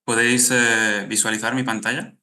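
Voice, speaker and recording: A young man giving a polite, formal presentation on a video call, with hesitant pauses. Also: mid-range voice, level tone, bright, forceful tone. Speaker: guest architect, award winner.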